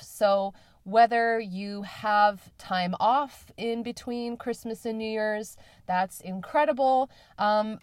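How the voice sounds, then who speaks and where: A woman hosting her own podcast in a bubbly, chatty way, her voice a little raspy today. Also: medium pitch, no room sound, studio quality. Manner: bubbly and chatty, with many fillers and repetitions. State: slightly raspy voice. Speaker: podcast host. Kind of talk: solo podcast talk.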